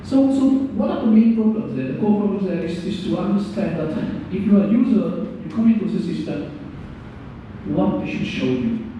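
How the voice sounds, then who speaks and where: Guest lecturer, man, slightly high voice, stumbles and repeats words, recorded at a distance with noise. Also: moderate pace. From Hungary. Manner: hesitant, repeats words, animated emphasis. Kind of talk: technical lecture. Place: lecture room. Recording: echoey hall, distant mic, dull sound, background noise.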